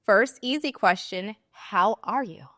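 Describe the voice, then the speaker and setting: A woman's voice: medium pitch, unhurried, bright, forceful tone, no room sound. Effusive woman podcast host, dry sound. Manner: enthusiastic, effusive. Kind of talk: casual interview chat.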